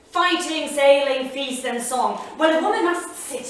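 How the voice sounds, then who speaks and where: A woman's voice: high voice, measured pace. An actress playing a bitter, proud, grieving woman from a Norse saga in a dramatic staged reading. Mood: bitter, defiant. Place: small theatre studio. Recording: echoey theatre, distant mic, faint noise.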